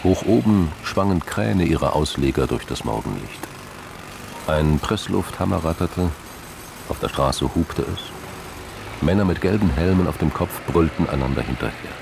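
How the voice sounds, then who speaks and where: A man's voice: rumbling low, creaky, background noise. A man reading scripted narration for a radio drama, with vehicle sounds and ambience behind him.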